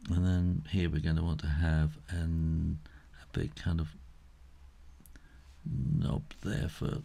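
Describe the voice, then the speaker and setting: A middle-aged man giving a casual step-by-step drawing tutorial, unhurried and thinking aloud as he works. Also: low-pitched, level tone, creaky, mellow.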